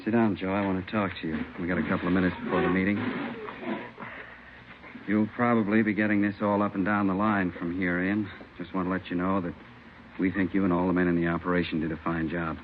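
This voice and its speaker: An actor playing a businesslike police captain in an old radio drama, speaking plainly and briskly. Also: male voice, deep voice, little pitch movement, close to an everyday voice. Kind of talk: 1950s radio acting. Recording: very noisy, muffled old radio recording.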